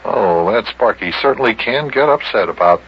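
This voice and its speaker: A man's voice: lowish voice, expressive, moderate pace, close to an everyday voice. A man acting a good-natured, patient guardian in an old-time radio children's show, folksy and full of exclamations. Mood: musing. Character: good-natured, scolding grown-up guardian of a boy. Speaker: host and lead actor of children's radio show. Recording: muffled, hissy vintage radio recording.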